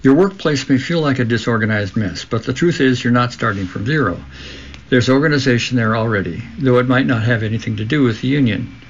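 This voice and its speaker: A man with a gravelly, creaky voice reads prepared material as a radio host. His delivery is measured, with the odd stumble over a word, and the audio is slightly dull and muffled. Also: mid-range voice. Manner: measured read-aloud delivery with occasional stumbles. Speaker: radio host reading a book aloud. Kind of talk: scripted reading for a labor radio show.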